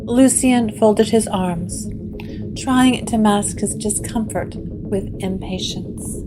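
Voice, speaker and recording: A woman narrating a gothic audio drama in a slow, measured storytelling voice. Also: mid-range voice, low-quality recording. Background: guitar music.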